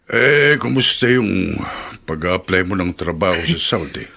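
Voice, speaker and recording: A man's voice: sits low, lively intonation, a little slow, vocal fry, pushed and bright, exaggerated voice. A man playing a caring, hesitant yet insistent elderly Filipino father in a radio drama. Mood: concerned, probing. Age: older.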